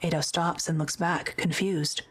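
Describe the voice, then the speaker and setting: A woman reads scripted audio drama narration. Her voice is very low and flat, matter-of-fact and measured, with faint noise in the background.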